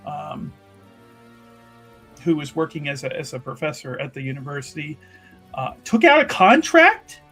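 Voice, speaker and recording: A man's voice: fairly high pitch, huge pitch swings, normal speed, long pauses, sharp tone, soft background music, heavily acted. A man playing an earnest, wry investigator in a fantasy tabletop game, musing hesitantly and circling back over his words. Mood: puzzled, probing. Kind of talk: tabletop roleplay, improvised in character.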